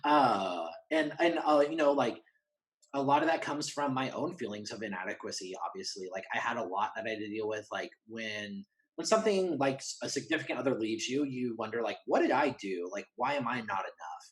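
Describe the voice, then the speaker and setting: A man talking candidly and casually as a podcast guest, earnest and halting, often voicing quoted asides. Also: mid-range voice, lively intonation, rough recording.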